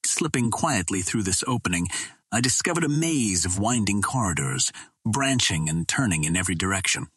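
A man reading an adventure novel aloud as scripted dramatic narration, in a measured, literary storytelling manner. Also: on the low side, a bit bright, husky, dry recording. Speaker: audiobook narrator.

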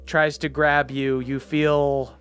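Relaxed man running a tabletop game. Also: on the high side, slow, soft background music. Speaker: game master.

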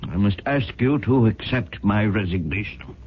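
An actor playing a stodgy, principled company manager, husky and formal, deferential but firm, in a radio drama. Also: male voice, on the low side, animated, very noisy, close to an everyday voice. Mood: firm disapproval. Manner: formal, measured, respectful. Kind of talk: 1970s radio drama acting. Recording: muffled, phone-like old radio recording.

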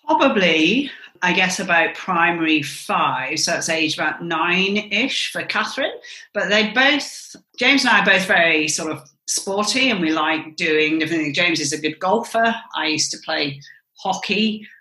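Bright-voiced middle-aged woman, roomy echo. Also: fairly low pitch, lively intonation. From England. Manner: measured, storytelling with fillers. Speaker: interview guest, mother of cricketers. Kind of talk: conversational podcast interview. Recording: roomy echoey sound.

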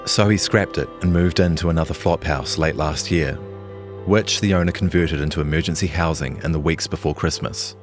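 A man's voice: extremely low pitch, moderate pace, music in the background. A man with a New Zealand accent, speaking as a journalist.

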